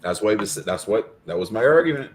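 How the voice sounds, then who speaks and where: A man's voice: on the low side, animated, a little fast. A man co-hosting a casual football reaction chat, arguing his point and repeating it. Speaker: football fan show co-host.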